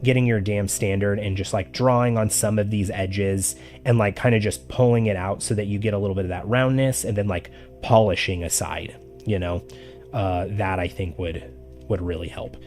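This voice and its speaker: A man giving casual art critique on a live stream, talking loosely with lots of fillers, his voice getting raspy. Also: lowish voice, steady tone, moderate pace, darkish tone. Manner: loose and chatty, with many "like" fillers. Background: faint background music.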